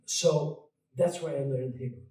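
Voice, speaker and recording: An older man, a guest cantor, reminiscing in a halting, gentle way with many restarts, heard on an online call. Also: mid-range voice, roomy sound, far from the mic, low-quality recording. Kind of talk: casual reminiscing conversation.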